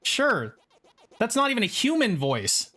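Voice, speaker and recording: A man's voice: very high voice, wildly swooping pitch, measured pace, sharp tone. A man giving mocking, profane commentary over someone else's stream. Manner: profane, sneering, blunt.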